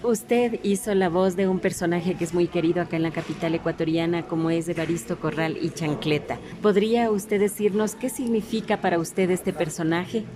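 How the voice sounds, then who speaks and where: A woman's voice: fairly low pitch, steady tone, normal speed, hardly any pauses. A woman asking formal, respectful interview questions on location. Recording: location recording with crowd murmur.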